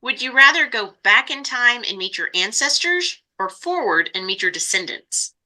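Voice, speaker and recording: A woman's voice: a little high, animated, moderate pace, sharp tone, rough recording. A woman hosting a podcast interview in a casual, conversational style. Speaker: podcast host.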